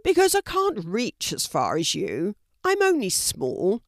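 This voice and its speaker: A woman voicing a small, cheeky, bossy male red panda in a children's storybook, demanding and excitable. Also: medium pitch, a little slow, no echo, clean studio sound. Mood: pleading.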